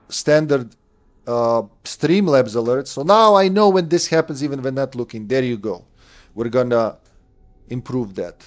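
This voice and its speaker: Chatty man in his late 30s streaming a game. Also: medium pitch. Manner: chatty, thinks aloud and answers chat. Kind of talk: live gameplay commentary.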